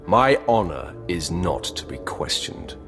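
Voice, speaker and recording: An actor playing an arrogant, menacing young warrior in a video game, haughty and threatening. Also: male voice, low-pitched, sing-song, takes it slowly, a bit bright, faint music behind, heavily acted.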